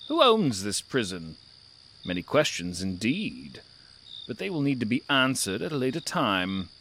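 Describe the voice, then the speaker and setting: A man's voice: mid-range voice, very animated, unhurried, a bit bright, a little hiss or hum. A man voicing a curious, impatient and sardonic feline traveler who guides listeners through an audio drama with haughty wit. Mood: curious, musing. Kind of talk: theatrical audio drama narration.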